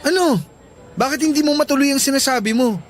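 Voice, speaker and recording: An actor playing a sweet, easygoing young student suitor in a Filipino radio drama, casual and earnest, with a Filipino accent. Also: male voice, extremely high pitch, fast, faint music behind. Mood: doubtful, defensive.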